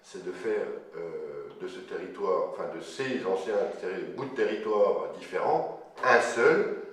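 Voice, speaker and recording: A man's voice: low voice, animated, measured pace. A man explaining things spontaneously in an interview, searching for his words and rephrasing as he goes. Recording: very echoey, slightly distant, faint noise.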